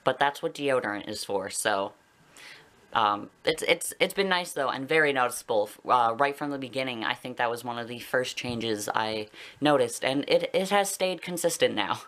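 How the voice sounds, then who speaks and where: A man's voice: mid-range voice, animated, normal speed, a bit bright. A young adult man with a Canadian accent talking casually and candidly to viewers in a personal vlog, rambling a little. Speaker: video blogger.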